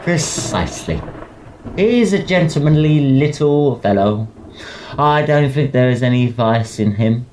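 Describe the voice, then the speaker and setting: Victorian student rower, young, British, gossipy, male actor. Very expressive, clipped period drama delivery, faint background noise. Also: medium pitch, a little slow, lightly acted, poor audio. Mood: confiding, disdainful. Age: young adult. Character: hearty, opinionated, gossipy Victorian student oarsman. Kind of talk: audio drama acting.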